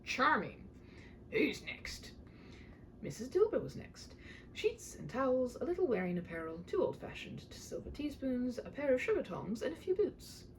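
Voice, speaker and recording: A woman narrates like a hobby audiobook reader, informal and self-deprecating. Her intonation is highly varied. The voice sits some distance from the microphone, with slight noise in the recording. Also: sing-song, moderate pace. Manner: chatty, self-deprecating, rambling asides. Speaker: amateur audiobook reader and channel host. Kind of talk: storybook narration with casual asides. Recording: distant mic with faint noise.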